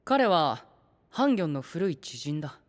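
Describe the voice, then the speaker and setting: An actor playing a cool, refined, sceptical young knight with a measured, gentlemanly, slightly aloof manner in an anime audio drama. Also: male voice, fairly high pitch, very animated, in a large hall. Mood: explaining.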